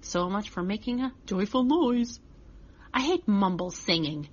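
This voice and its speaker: A woman voicing a chatty, earnest six-year-old boy telling a children's story, childlike and expressive. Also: mid-range voice, measured pace, husky, muffled recording. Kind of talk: first-person children's story reading.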